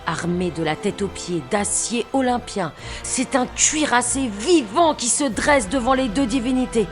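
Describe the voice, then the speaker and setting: A woman's voice: a little high, lively intonation, measured pace, soft background music. A woman narrating a comic audio drama with gushing, over-the-top enthusiasm. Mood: dramatic.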